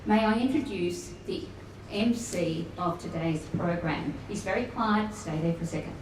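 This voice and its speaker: A woman welcoming guests at a luncheon, formal but with warm chatty asides. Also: lowish voice, moderate pace. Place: function room at a luncheon event. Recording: echoey room, distant mic, noisy.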